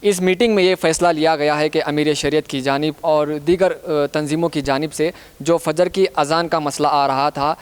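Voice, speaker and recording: Clear-voiced Indian man reporting news. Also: a little high, fairly even intonation, normal speed.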